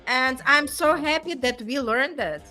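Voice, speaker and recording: Woman, slightly high, bright tone, earnest encouraging talker; faint music underneath. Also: a little slow. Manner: earnest, encouraging, tags with "right?". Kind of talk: casual motivational chat.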